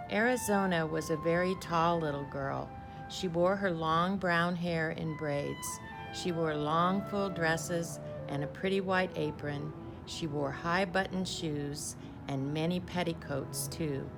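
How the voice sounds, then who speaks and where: Woman reading a kids story out loud, low creaky voice, measured teacher delivery, music playing behind her. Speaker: teacher reading a picture book aloud. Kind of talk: storybook read-aloud.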